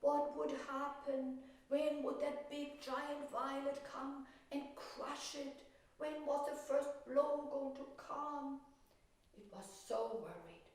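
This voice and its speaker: Female children's teacher and storyteller, leisurely and reassuring delivery, very reverberant distant recording. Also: high voice. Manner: simple, unhurried, reassuring explanations. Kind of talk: gentle children's art lesson and storytelling. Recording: echoey room, distant microphone.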